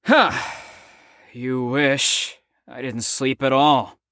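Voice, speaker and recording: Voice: medium pitch, steady tone, very slow, a bit bright, no room sound, studio quality. Drawling, flippant, exhausted young patient. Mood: tired, flippant. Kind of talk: audio drama acting.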